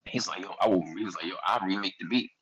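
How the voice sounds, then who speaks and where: A man's voice: sits low, fast talker, a bit bright. A young man with a Philadelphia accent telling a story in a casual chat, loose and slangy, often quoting himself. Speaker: guest artist.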